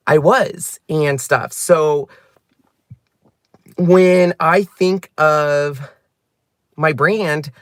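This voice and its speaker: A man hosting a crafting channel, talking candidly and earnestly to his viewers from his car, his voice climbing higher when he gets emphatic. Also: a bit bright, dry recording, studio quality. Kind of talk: candid livestream-style chat to viewers. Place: sitting in a car.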